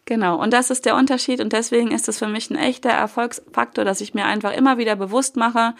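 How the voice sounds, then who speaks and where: A woman's voice: fairly high pitch, vocal fry. Woman with a cold, coaching casually. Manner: casual coaching tone with fillers and loose profanity. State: has a cold. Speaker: podcast host. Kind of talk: solo motivational podcast.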